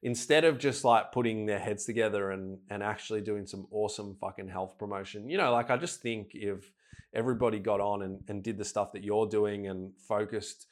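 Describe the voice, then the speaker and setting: A man's voice: fairly low pitch, fairly even intonation, brisk. A man hosting a podcast, chatting casually with a blunt, sweary, enthusiastic manner. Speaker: podcast host. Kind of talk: casual interview chat.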